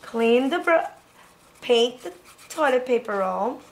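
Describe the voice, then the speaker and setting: A middle-aged woman teaching preschoolers in a classroom, talking young children through each step in an encouraging, animated way. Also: a little high, takes it slowly. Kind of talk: children's educational demonstration. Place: indoor classroom.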